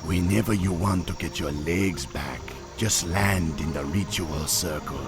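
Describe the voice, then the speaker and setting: A man's voice: low voice, measured pace, loud music behind the voice. A man voicing a proud male troll chieftain who speaks in an island patois, in a video game cutscene. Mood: commanding. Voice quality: gravelly.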